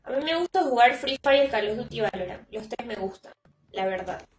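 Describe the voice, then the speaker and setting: A woman's voice: on the high side, animated, a little fast, echoey room, slight background noise. A young woman with a Peruvian Spanish accent, chatting casually with viewers and exclaiming at herself while she streams a game. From Peru. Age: young adult. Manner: chatty, reads chat aloud, exclaims at herself. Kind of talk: casual live-stream chat while gaming. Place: room indoors at a computer.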